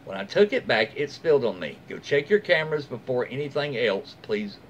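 A middle-aged American man reads aloud casually, like a host chatting with his followers. His mid-pitched voice moves up and down in a lively way, with informal, folksy asides. He is recorded at home with faint noise in the room. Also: fairly quick. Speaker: host reading online stories aloud. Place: small room at home, indoors.

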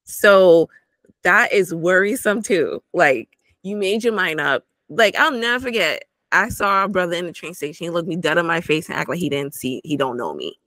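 A woman tells family stories in an emphatic, animated way, her voice bright and forceful. She talks over a video call with earbuds from a dim room at home, and the sound is dry and close. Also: on the low side, moderate pace, no echo, poor audio. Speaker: guest, host's sister. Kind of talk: casual family conversation. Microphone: video call with wired earbuds.

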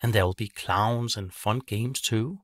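A man's voice: lowish voice, unhurried, a bit bright, dry recording, studio quality. A man narrating a scripted children's bedtime story, telling it simply in short phrases.

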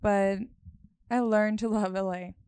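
A woman's voice: mid-range voice, fairly even intonation, a little slow, a little mellow, clear, resonant tone, dry recording. A young woman from Sweden, co-hosting a casual couch chat with a handheld mic in a living room. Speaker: co-host.

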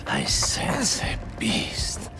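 An actor playing a menacing male hunter villain in a video game, slow and ominous. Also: roomy sound, over music.

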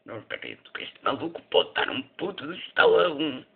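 Guy doing a ranting newspaper boss, portugal portuguese accent, highish voice, tinny phone-like amateur dub. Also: wildly swooping pitch, fairly quick, creaky, full character voice. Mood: indignant. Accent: European Portuguese. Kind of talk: amateur comic film dub.

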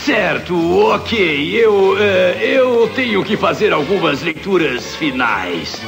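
A man's voice: sits high, sing-song, slow pace, vocal fry, over music, heavily acted. A man playing a scheming, power-mad scientist villain in a Brazilian cartoon dub, theatrical, gloating and menacing. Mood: evasive. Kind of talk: dubbed cartoon villain acting.

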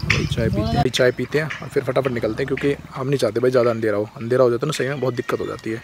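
A young man in his twenties, talking casually and excitedly to his phone camera on a road trip, from a car and by a lakeside. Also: medium pitch, very fast, music in the background. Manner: chatty, excitable, keeps saying "bhai". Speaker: vlogger. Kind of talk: casual travel vlog. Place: inside a car and outdoors by a dam. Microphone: phone camera at arm's length. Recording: phone recording with clear road and wind noise.

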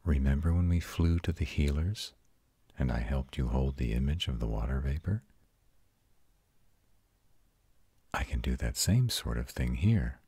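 A man voicing a tender, protective male vampire in an intimate, hushed audio roleplay, soothing and husky. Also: lively intonation, moderate pace, no echo, exaggerated voice. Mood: patient, soothing. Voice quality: very deep, husky, often breathy whispering. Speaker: voice actor.

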